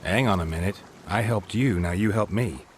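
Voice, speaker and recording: Male actor as a gruff monster hunter, very low pitch, terse, deadpan, dry wit, fantasy video game. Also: normal speed, slight background noise, lightly acted. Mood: dry, firm. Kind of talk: video game character dialogue.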